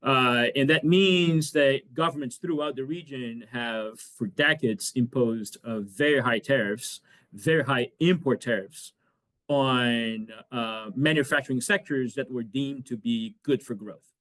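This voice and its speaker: A man's voice: medium pitch, measured pace, a bit bright. A man in his forties from Brazil, an economics professor giving an academic lecture over a video call, with frequent fillers and restarts. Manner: hesitant, many fillers and restarts. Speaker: economics professor, guest speaker.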